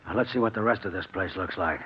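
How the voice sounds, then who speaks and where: An American actor playing a tough, steady crook who leads his younger partner in 1940s radio drama style, terse and commanding. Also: sits low, fast, lightly acted. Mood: calm, determined. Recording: muffled, noisy old radio broadcast.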